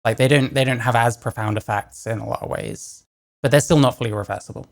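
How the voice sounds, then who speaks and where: Soft-trailing woman commentating. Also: extremely low pitch, fast. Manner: hesitant, trailing off into quiet asides. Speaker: reaction video host. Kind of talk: casual commentary over clips.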